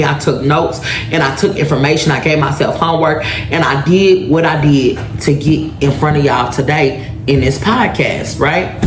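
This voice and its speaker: A woman in her late thirties talks through a solo podcast in a loose, storytelling way. She keeps asking her listeners "right?" as she goes. Her voice rings in a roomy space with a faint hiss behind it. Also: low-pitched. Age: 30s. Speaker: podcast host. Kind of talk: casual solo podcast monologue. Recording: echoey room with faint background noise.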